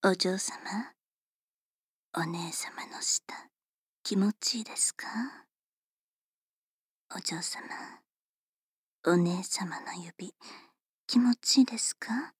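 Woman doing a teasing maid-type servant, breathy low whisper, super slow, dry close mic. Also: no echo. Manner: formal, politely teasing, unhurried. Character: polite, teasing female servant attending a young lady. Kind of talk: whispered ASMR roleplay.

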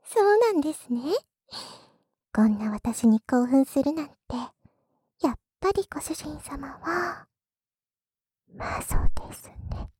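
A woman playing a coy, secretly lewd maid in an intimate adult ASMR roleplay, teasing her master in a breathy voice that often drops to a whisper. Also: sits high, sing-song, slow pace, pauses often, mellow, no echo, studio quality, exaggerated voice.

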